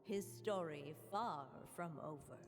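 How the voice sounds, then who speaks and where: A woman playing a solemn storybook narrator in a fantasy game, telling a fairy tale in measured phrases. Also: on the low side. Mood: solemn storytelling. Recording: long reverb, music behind.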